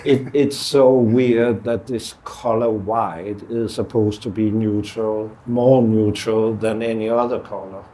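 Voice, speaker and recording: A middle-aged man, speaking as an artist in a relaxed conversation and pausing often to search for words. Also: on the low side, low-quality recording. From Denmark. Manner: halting, searching for words with many fillers. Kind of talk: casual conversation.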